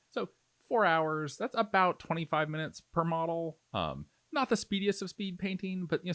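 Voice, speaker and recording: A man's voice: fairly low pitch, fairly bright tone, dry recording. A man giving a casual, explanatory step-by-step tutorial voice-over in an informal way. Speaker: miniature painting tutorial host.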